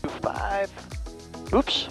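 A middle-aged man piloting a small plane, calmly talking through each step of his flight maneuvers over a headset mic. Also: very slow, faint music behind. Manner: calm, steady step-by-step callouts. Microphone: aviation headset mic.

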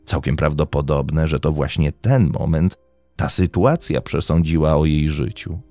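A man's voice: extremely low pitch, steady tone, a little slow, warm tone, dry recording. A man narrating a scripted true-crime story in a dramatic, suspenseful way, with emphatic openings to his phrases. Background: music bed under the voice.

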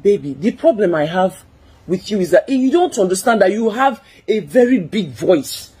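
A woman's voice: mid-range voice, very animated, moderate pace, warm tone, a little hiss or hum. A young woman with a Nigerian accent, defending herself and pleading during a heated argument at home. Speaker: wife in a couple video. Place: indoors at home. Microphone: phone camera in a split-screen video.

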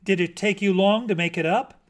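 A well-meaning storybook chipmunk, voiced by a male narrator. It talks frankly and earnestly, with a slightly anxious helpfulness. The pitch is a little high. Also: steady tone, normal speed, natural voice. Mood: helpful, uneasy.

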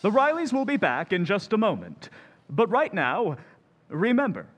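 A man acting as an old-time radio announcer, reading a smooth, persuasive commercial. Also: sits high, long reverb tail.

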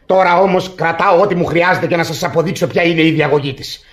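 A man's voice: a little high, natural voice. A man playing a frantic, aggrieved husband in a classic radio farce, exclaiming and repeating words insistently. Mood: indignant, insistent. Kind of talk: theatrical radio comedy acting.

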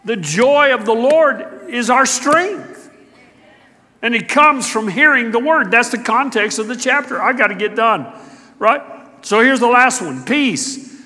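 Man, middle-aged, pastor, emphatic sermon, high-pitched, echoing sanctuary. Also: animated, a little slow. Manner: emphatic, swings from loud to hushed. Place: church sanctuary.

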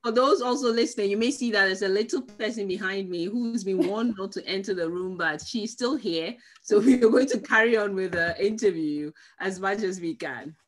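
A middle-aged woman hosts an interview over a video call from a room lined with bookshelves. Her voice sits at a middle pitch, and she speaks in an encouraging way, leading with short, friendly questions. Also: moderate pace, few pauses. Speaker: host.

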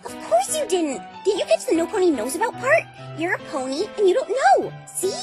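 A woman playing a hyperactive, silly, excitable young party pony with a bubbly, emphatic manner in a fan dub. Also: very high voice, brisk, over music, exaggerated voice. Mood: bubbly, insistent. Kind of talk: fan-dub visual novel character acting.

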